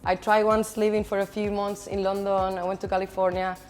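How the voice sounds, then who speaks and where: Chilean-born woman, relaxed and chatty. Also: mid-range voice, fairly quick, faint music behind. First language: Spanish. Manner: relaxed, chatty, lots of "like" and "you know". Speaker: DJ and event organizer. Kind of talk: interview answers.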